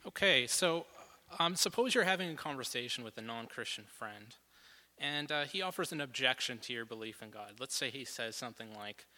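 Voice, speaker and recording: A man's voice: mid-range voice, a little fast, long reverb tail. Methodical man explaining from notes. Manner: steady and methodical, some fillers. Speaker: guest presenter.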